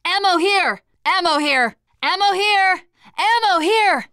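A woman's voice: extremely high pitch, expressive, takes it slowly, bright, forceful tone, dry recording, studio quality, full character voice. An actress playing a tough young woman surviving a zombie apocalypse in a video game, giving short, punchy callouts. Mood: urgent, alert.

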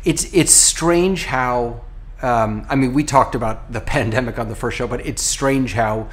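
A middle-aged American man, a rabbi, hosting a relaxed podcast conversation over a video call from his home office, talking in an animated way with frequent restarts. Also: mid-range voice, measured pace. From United States.

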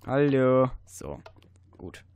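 A teenage boy with a cold, giving rambling casual commentary over a video game with many fillers and sudden excited outbursts. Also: mid-range voice, extremely slow, clean tone. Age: teens. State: stuffy, has a cold. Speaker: let's player.

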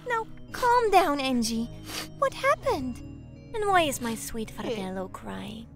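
A woman playing a sweet but sassy, motherly big sister demon in an animated comic dub, coaxing and excitable. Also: sits high, slow pace, gravelly, faint music behind, exaggerated voice. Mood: soothing.

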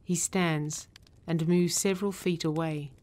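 A woman reading scripted narration and stage directions in a measured, deliberate manner. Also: low voice, steady tone, dark tone.

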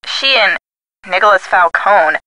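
A woman's voice: mid-range voice, animated, unhurried, sharp tone, dry recording, poor audio. An actress playing a detective's chatty, witty young female friend in a video game, with a playful, teasing manner. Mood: animated. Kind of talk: scripted video game dialogue.

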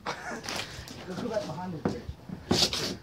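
A woman's voice: mid-range voice, wildly swooping pitch, slow. A woman chatting bluntly with a friend while exploring, heard from a distance on a phone recording. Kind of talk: casual chat. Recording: loud noise, distant from the phone.

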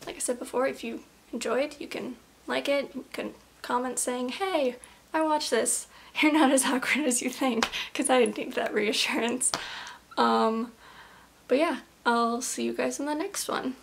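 A young woman chatting to her camera in a small room, rambling and giggling self-consciously, her voice a little raspy from allergies, recorded on the camera's own mic. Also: high-pitched, unhurried, a little hiss or hum. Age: young adult. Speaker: vlogger. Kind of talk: casual chat to camera.

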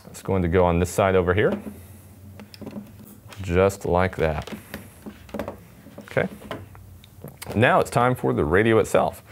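A man's voice: deep voice, measured pace, gravelly, long pauses, a little hiss or hum. A man in his twenties giving a casual, upbeat product demonstration to camera in an indoor studio. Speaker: product demonstrator for a radio company. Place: indoor studio set with table. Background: background music.

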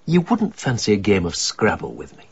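A man's voice: lowish voice, wildly swooping pitch, normal speed, heavily acted. An actor playing a lonely, grieving older widower in a radio drama, homely and wistful in his way of talking. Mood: mournful. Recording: slightly dull, clearly noisy recording.

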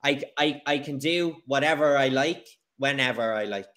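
Young Irish man teaching, medium pitch. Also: moderate pace, sharp tone. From Ireland. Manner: simple phrasing, repeats key words for learner. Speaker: English teacher. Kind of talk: casual conversational lesson. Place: kitchen indoors, video call. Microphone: video call device.

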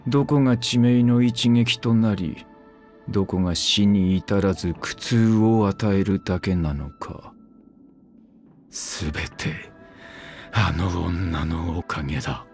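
A man's voice: steady tone, slow, darkish tone, faint music behind. A man voicing a brooding, weary immortal swordsman in a game, speaking in terse, clipped phrases. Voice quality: low, curt, grim. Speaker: game character voice lines. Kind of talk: game voice-line acting.

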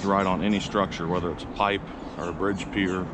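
Excitable outdoorsy guy, windy audio. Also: deep voice, brisk. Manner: excitable, bursts of loud cheering on catches. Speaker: fishing vlogger. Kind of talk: casual outdoor narration while fishing. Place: outdoors by urban water, windy. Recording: windy outdoor audio, clear background noise.

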